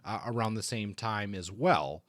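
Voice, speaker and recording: A man's voice: lowish voice, measured pace, a bit bright, dry recording. Man talking books in a dry-sounding space. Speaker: podcast co-host reviewing crime novels. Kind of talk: casual book discussion.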